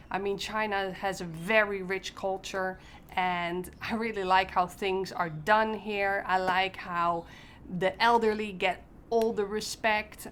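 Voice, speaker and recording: A woman's voice: medium pitch, a bit bright, a little hiss or hum. A woman talking casually to the camera as a parent, in an upbeat, conversational way. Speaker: parent interviewee.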